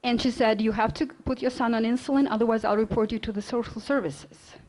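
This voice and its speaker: A middle-aged woman from Russia with a Russian accent, giving an earnest personal lecture with quick bursts, fillers and restarts. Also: on the high side, steady tone, darkish tone, poor audio. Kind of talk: storytelling lecture.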